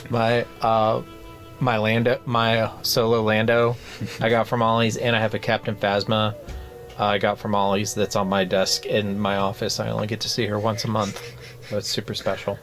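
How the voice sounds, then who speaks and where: A man co-hosting a casual book club podcast, rambling through jokes in a deadpan way. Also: on the low side, moderate pace, faint music behind. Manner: joking, rambling deadpan bits. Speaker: podcast co-host.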